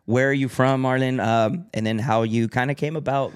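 Male podcast host, mid-pitched, eager, filler-heavy intros. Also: steady tone, normal speed, clean tone. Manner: enthusiastic, many fillers, reads the guest's bio aloud. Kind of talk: casual podcast interview.

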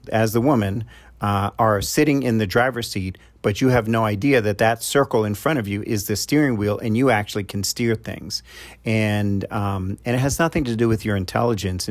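A middle-aged man with a New York accent, speaking as a love coach to his podcast audience. His voice sits on the low side, and he talks in a loose, conversational way with frequent fillers and restarts. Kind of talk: advice monologue to a podcast audience.

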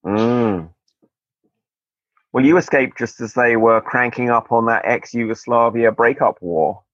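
A man's voice: mid-range voice, a little slow, fairly bright tone, no echo. A man hosting a podcast interview, chatting casually with wry, digressive asides. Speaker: podcast host. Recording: dull lo-fi dry sound.

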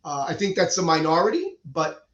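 Middle-aged guy from cuba, high voice, earnest and explaining, dull echoey lo-fi sound. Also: sing-song, fairly quick. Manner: earnest, explanatory, frequent restarts. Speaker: guest, hand surgeon. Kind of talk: conversational interview.

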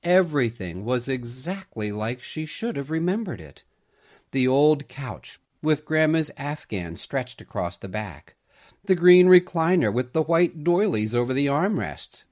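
A male storyteller reads scripted narrative aloud with dramatic expression. His medium-pitched voice moves through a wide, lively range as he tells the tale. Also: a little slow. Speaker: storyteller reading a family Christmas story.

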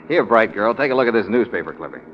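Man acting confident American private eye, rapid swooping delivery, 1940s radio, muffled noisy recording. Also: fairly low pitch, animated, natural voice. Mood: brisk, intrigued. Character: confident, brisk male private troubleshooter, eager for excitement.

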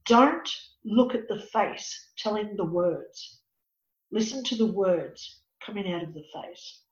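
A woman in her fifties with a raspy voice, speaking as an interview guest and life coach. She talks in an emphatic, preachy way and often says "you know.". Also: medium pitch, lively intonation, takes it slowly. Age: 50s. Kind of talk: earnest personal conversation. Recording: echoey, dull lo-fi audio.

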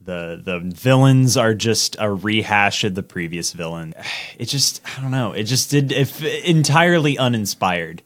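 A man's voice: on the low side, lively intonation, a little slow, fairly bright tone. A man talking casually and giving his opinions as co-host of a movie podcast.

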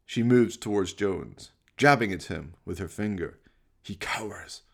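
A man's voice: low-pitched, unhurried, gravelly. A man narrating a radio play from a script, describing the action in the present tense.

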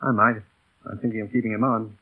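An actor playing a tough, brusque boss of a small airline in 1940s radio drama, clipped, commanding and slangy. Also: male voice, on the low side, steady tone, fast, breathy edge. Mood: gruff, subdued. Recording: tinny, band-limited vintage radio broadcast.

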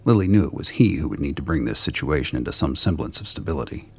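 Radio drama narrator: man, bottomless bass, husky, delivering scripted prose. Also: lively intonation, fast talker. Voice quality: very deep, gravelly.

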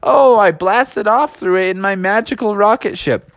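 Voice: mid-range voice, normal speed, no echo, studio quality, pitched above the performer's own voice, lightly acted. A storyteller doing an eager young frog. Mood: excited. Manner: breathless, eager, surfer slang. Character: excitable young frog boy.

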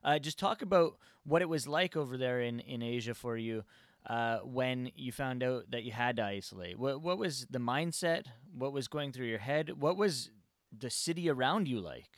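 A man hosting a casual interview, relaxed and friendly, swearing casually. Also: mid-range voice, moderate pace, no room sound, studio quality. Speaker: podcast host.

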